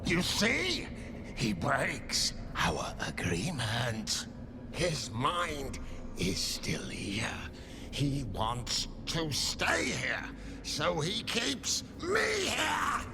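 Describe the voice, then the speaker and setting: An actor playing a raging, impatient, menacing demon in a video game, with a snarling voice. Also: male voice, a little high, wildly swooping pitch, very slow, vocal fry, background noise, exaggerated voice. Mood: furious. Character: raging, impatient demon of spite sharing a man's body. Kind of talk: video game creature acting.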